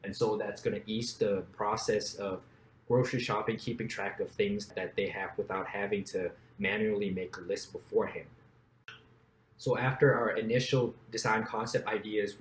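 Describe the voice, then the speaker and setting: A young man from Thailand, a startup co-founder, explaining his product in a steady business pitch. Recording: roomy echo, faint background noise.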